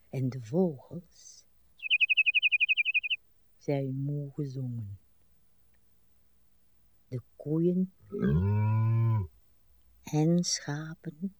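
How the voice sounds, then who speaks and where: An actress playing a weary old wife in a literary radio play, speaking plaintively in short, halting, mournful phrases. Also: female voice, rumbling low, warm tone, breathy edge, no echo, full character voice. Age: older. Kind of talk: literary radio drama acting.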